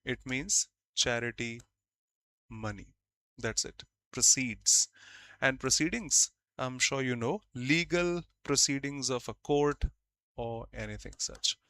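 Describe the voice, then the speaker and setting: A man's voice: mid-range voice, slow pace, pushed and bright, no room sound. A man from India, a Hindi speaker, teaching an online grammar class and stressing key words by repeating them.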